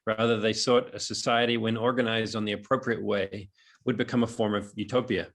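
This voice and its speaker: A man in his forties with a New Zealand accent softened toward transatlantic, reading a prepared talk over a home video call in a measured way. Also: lowish voice, little pitch movement. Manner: hesitant and chatty at the start, then reads measured prepared text. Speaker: webinar speaker. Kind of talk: reflective prepared talk on a webinar. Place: room in his house overlooking a lough.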